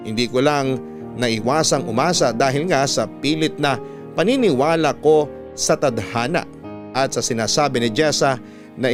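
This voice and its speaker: A man with a Filipino accent, a radio host reading a listener's love story as scripted dramatic narration. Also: mid-range voice. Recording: guitar music behind the narration.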